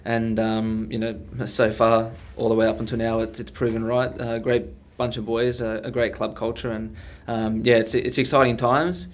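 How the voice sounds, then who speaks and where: A man's voice: mid-range voice, level tone, normal speed, few pauses. A young Australian man, a footballer, answering questions in a casual interview.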